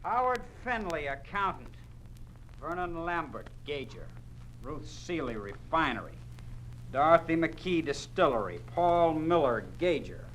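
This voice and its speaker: An actor playing an officious, bullying party loyalist, pompous and barking, with an American accent in a 1950s radio drama. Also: male voice, a little high, fairly bright tone. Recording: noisy old radio recording.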